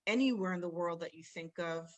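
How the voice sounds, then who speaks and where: A woman talking casually in a live stream. She sounds relaxed, chatty and good-humored. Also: on the low side, fairly quick. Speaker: rabbi's wife.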